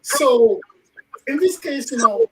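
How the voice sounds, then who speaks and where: A man hosting a talk radio program, speaking haltingly with many fillers and repeats. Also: very high voice, animated, noisy background. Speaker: radio show host. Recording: distant from the mic.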